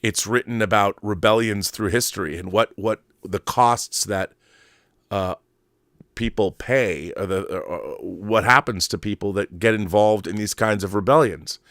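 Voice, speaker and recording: A man's voice: lowish voice, measured pace, a bit bright, no echo, studio quality. A middle-aged man giving casual, rambling opinion commentary in an emphatic, conversational way, often digressing. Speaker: host of a film and book commentary show.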